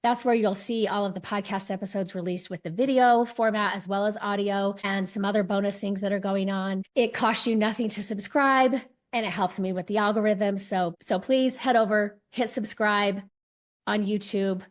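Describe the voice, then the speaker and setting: A woman podcast host with a hoarse voice from laryngitis, wrapping up the episode with an encouraging appeal to listeners. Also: mid-range voice, steady tone, normal speed.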